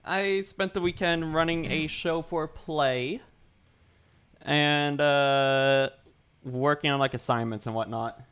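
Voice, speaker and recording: A young man streaming while he draws, chatting casually with his viewers, his throat dry and sore and his voice a little deeper than usual. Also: fairly even intonation, takes it slowly, a bit bright, clear, resonant tone. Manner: rambling, chatty, greets chat, repeats himself. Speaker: art livestreamer. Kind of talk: casual livestream chat.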